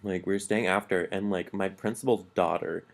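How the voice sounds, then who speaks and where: A man with a deep, low-pitched voice co-hosts a casual podcast. He rambles through his stories in a loose, chatty way and fills them with "like"s. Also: steady tone, normal speed, creaky. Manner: chatty, filler-heavy storytelling with many "like"s. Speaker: podcast co-host. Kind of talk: casual storytelling chat.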